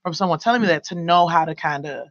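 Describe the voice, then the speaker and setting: Young woman guest, mellow and gravelly, rambling studio advice, dry lo-fi desk mic. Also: lowish voice, quick pace, dry recording. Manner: loose, rambling delivery with many fillers. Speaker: guest, radio and podcast producer. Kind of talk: casual advice in a studio interview. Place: small radio studio. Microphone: desk microphone.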